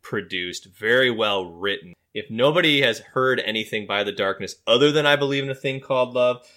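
A man from Michigan chatting casually on a podcast, enthusiastic and quick to laugh. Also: mid-range voice, bright, forceful tone. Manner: enthusiastic fanboy, laughs often. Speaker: podcast co-host.

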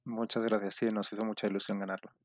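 A man in his forties from Spain whose Spanish accent has picked up some Mexican tones, answering as a founder guest in a relaxed interview with many fillers and restarts. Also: on the low side, fast, dry recording, studio quality. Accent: Spanish with some Mexican influence.

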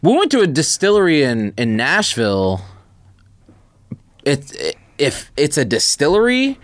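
A man's voice: a little high, wildly swooping pitch, unhurried, dry recording. A man co-hosting a podcast, talking casually and conversationally with plenty of slang. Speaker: podcast co-host.

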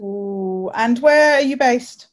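A woman's voice: fairly high pitch, animated, slow. A woman hosting her own podcast, reading her show intro and then interviewing a guest in an upbeat, encouraging, chatty way. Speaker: podcast host. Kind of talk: scripted intro and casual interview.

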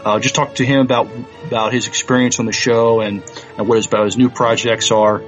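A man co-hosting a sci-fi podcast, talking earnestly with many pauses and fillers. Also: mid-range voice, flat delivery, soft background music, poor audio. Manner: hesitant, lots of ums, earnest. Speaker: podcast co-host. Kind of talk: casual podcast chat.

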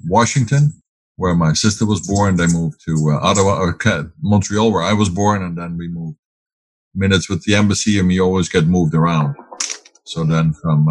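Low husky Dutch-born man. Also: no room sound, low-quality recording. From Netherlands. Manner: rambling with fillers and restarts. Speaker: guest, business owner. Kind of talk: casual interview answers.

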